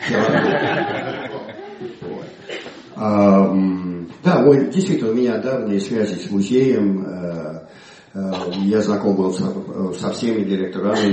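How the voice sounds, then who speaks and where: An older man, an English speaker, presenting his book in Russian with a halting manner, recovering from a cold. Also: sits low, darkish tone, slight background noise. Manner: halting, many hesitations and restarts. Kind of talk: informal presentation speech. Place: museum hall. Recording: echoey hall, from far off.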